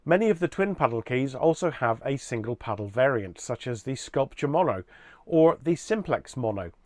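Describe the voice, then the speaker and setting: A man giving an explanatory product presentation in a measured, orderly way. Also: mid-range voice, animated, darkish tone, no room sound.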